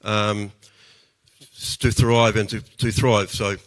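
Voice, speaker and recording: A man in his sixties with a New Zealand accent giving a campaign speech as a council candidate, halting, with pauses and repeated words. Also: fairly low pitch, little pitch movement, long reverb tail, poor audio. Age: 60s.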